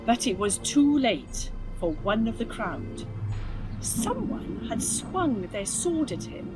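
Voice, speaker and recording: A woman narrating a children's story in an expressive, dramatic storytelling style. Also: fairly high pitch, takes it slowly, over music, poor audio. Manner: animated, dramatic reading with acted dialogue.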